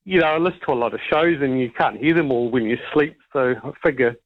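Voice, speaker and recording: A man's voice: mid-range voice, quick pace. A man calling in to a radio show, chatting in a laid-back, wry way. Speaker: caller. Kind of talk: casual radio call-in chat. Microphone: telephone. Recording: tinny phone line.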